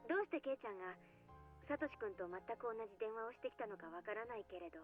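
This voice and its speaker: Casting: a candid, friendly teenage girl for a visual novel, quick-fire delivery through a narrow phone line, music behind. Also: a little high, expressive. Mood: worried, hesitant. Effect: telephone filter. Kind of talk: Japanese visual novel voice acting. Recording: tinny narrow telephone line.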